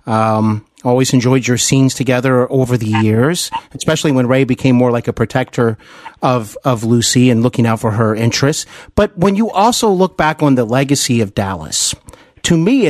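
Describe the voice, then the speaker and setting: A man's voice: medium pitch, no echo. A man hosting a podcast interview, putting questions in a polite, agreeable and wordy conversational way.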